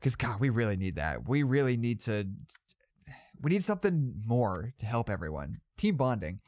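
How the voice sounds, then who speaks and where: A man playing an anxious, well-meaning head of psychology in an audio drama, halting and correcting himself as he tries to stay upbeat. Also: mid-range voice, very animated, darkish tone, no room sound, clean studio sound, natural voice. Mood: nervous optimism. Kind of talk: naturalistic audio drama monologue, spoken log.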